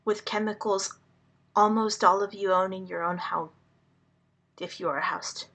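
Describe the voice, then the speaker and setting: A woman whose first language is Hungarian, speaking to the public in a slow, earnest monologue, halting and deliberate with many pauses. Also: mid-range voice, steady tone, a bit bright. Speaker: vlogger addressing the public.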